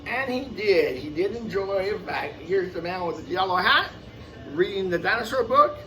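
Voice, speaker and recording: A man's voice: high-pitched, lively intonation, normal speed, bright, forceful tone, faint music behind. A man reading a children's picture book aloud expressively, adding casual asides and repeating phrases for young listeners. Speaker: storyteller. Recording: noisy, picked up from a distance.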